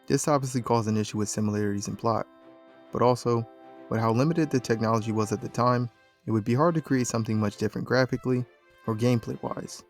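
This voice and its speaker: A man delivers scripted documentary narration in a voice of ordinary middle pitch. He is recorded as a close voice-over, with music faintly behind him. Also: steady tone, fast talker.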